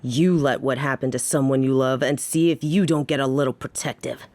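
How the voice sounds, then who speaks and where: A woman's voice: rumbling low, fairly even intonation, a little fast, darkish tone, dry recording. A woman playing a menacing, short-tempered yet protective female mafia boss in a scripted audio roleplay, with a theatrical, brooding delivery. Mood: impatient.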